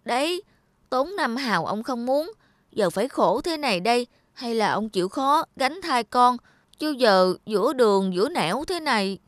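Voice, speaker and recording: A woman's voice: a little high, big pitch swings, moderate pace, no room sound. A woman voicing a timid, crafty young male servant in a cartoon, deferential and pleading. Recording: studio voice with loud music.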